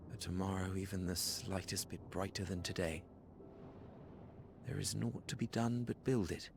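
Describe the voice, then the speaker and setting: An actor playing a thoughtful, earnest young scholar in a fantasy game, with measured, formal phrasing. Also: male voice, sits low, creaky, background noise. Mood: hopeful, solemn. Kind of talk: scripted game cutscene acting. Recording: prominent orchestral music behind. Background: music with strings.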